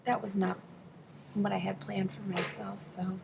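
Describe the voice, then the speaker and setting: A woman working as an interpreter, voicing an interview answer in the first person, phrase by phrase with halting delivery. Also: medium pitch, flat delivery, creaky, background noise. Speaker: sign language interpreter voicing a deaf interviewee.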